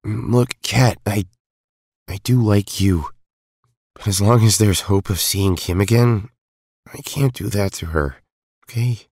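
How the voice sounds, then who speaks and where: Husky low-voiced young hero played by a man. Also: takes it slowly, pauses often, darkish tone, no echo, studio quality, full character voice. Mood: apologetic, gentle. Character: Tommy, wounded but stubborn, loyal young male hero. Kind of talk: amateur audio drama acting.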